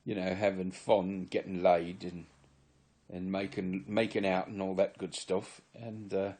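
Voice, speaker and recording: Man, 60s, from Virginia, fake English accent, dark mellow tone, rambling earnest monologue. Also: deep voice, flat delivery, unhurried. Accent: put-on English. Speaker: former member giving testimony. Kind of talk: solo confessional monologue to camera.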